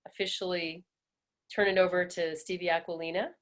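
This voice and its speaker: A woman's voice: fairly low pitch, flat delivery, brisk. A woman host briefly introducing the next speaker in plain words.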